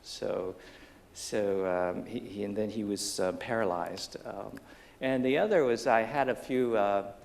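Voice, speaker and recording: Halting, gravelly man telling his story. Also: on the low side, a little hiss or hum. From Taiwan. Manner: halting, many restarts and fillers, self-deprecating humor. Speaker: business professor, panel guest. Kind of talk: personal story on a panel. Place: lecture stage under bright lights before an audience.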